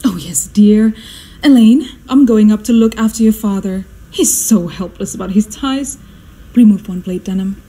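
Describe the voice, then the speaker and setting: An actress playing a fussy, imperious, status-hungry society mother in a radio drama, theatrical and exclamatory, with a husky voice. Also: mid-range voice, normal speed, warm tone, lightly acted, poor audio. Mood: brisk, commanding.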